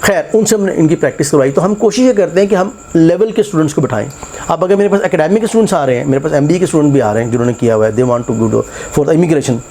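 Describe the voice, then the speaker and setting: A man explains test preparation at a quick clip, asking his own questions and answering them. His voice sits a little high but sounds mellow. A faint hum of noise runs behind him. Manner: animated, mixes English phrases into speech, rhetorical questions. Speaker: English test tutor and institute head. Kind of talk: casual classroom interview and explanation.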